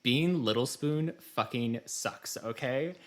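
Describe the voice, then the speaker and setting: A man's voice: medium pitch, slow, fairly bright tone. A man playing a melodramatic, pompous online ranter in an emphatic dramatic reading of a post. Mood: indignant, exasperated. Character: melodramatic, indignant online ranter turning reverent and grandiose.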